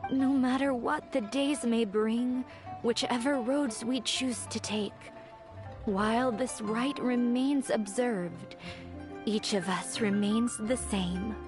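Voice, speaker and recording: High-voiced, earnest young woman. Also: steady tone, takes it slowly. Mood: earnest, nostalgic. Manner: polite, sincere. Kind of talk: anime game voice acting. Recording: game music behind.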